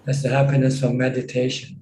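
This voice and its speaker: A man giving informal Buddhist teaching as a monk, explaining step by step with repeated words and hesitant pauses. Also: medium pitch, completely flat delivery, dark tone. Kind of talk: informal live dhamma question-and-answer teaching. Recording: roomy echo, faint background noise.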